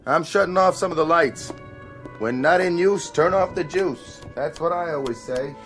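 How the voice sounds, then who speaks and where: Man acting a curt station clerk, fairly high voice, clipped, indifferent, radio drama, music under it. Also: moderate pace, fairly bright tone, natural voice. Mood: brusque. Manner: brusque, clipped, offhand.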